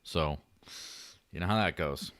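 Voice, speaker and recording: A low-pitched man hosting a casual livestream podcast, his voice light and buttery. He rambles, jokes and pokes fun at himself. The sound is dry and close. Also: measured pace, a bit bright, dry recording. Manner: joking, rambling, self-deprecating. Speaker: podcast host.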